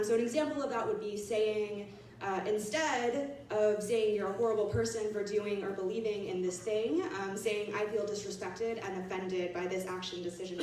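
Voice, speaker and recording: A woman's voice: mid-range voice, darkish tone. A woman with a lilt sets out ground rules for a gathering, loose and casual, full of "um"s. She is heard from across a large room with strong echo. Faint background noise runs under her voice. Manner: casual, filler-heavy. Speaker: advocacy organization director. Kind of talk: laying out community agreements. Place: large echoey hall. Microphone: distant room mic. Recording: echoey hall, voice far off.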